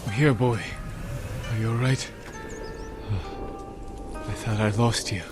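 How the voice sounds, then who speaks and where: An actor playing a stoic samurai in a video game, grave, terse and measured. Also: male voice, fairly low pitch, steady tone, over music. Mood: tender, concerned. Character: stoic, solemn samurai warrior, devoted to his horse. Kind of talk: video game cutscene acting.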